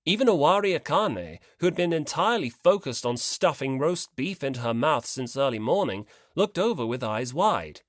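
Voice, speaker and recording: A man's voice: a little high, very animated, moderate pace, hardly any pauses, no echo, clean studio sound. A man reading scripted story narration expressively as an audiobook narrator.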